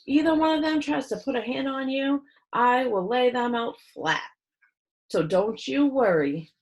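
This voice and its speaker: A big, protective man from a children's storybook, played by a woman reading aloud. He is tough but calm, with a wry, steady way of talking. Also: sits high, unhurried, voice pushed up. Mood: firm, protective.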